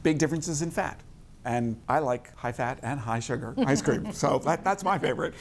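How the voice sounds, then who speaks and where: A man's voice: mid-range voice, moderate pace. A middle-aged man with an American accent, explaining conversationally as a tasting expert on a cooking show. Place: studio kitchen set with audience.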